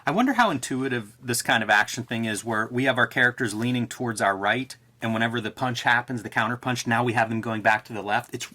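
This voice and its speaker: A man's voice: mid-range voice, quick pace, few pauses, sharp tone. A man co-hosting a review show, thinking aloud in casual, conversational analysis. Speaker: co-host of a comics review show.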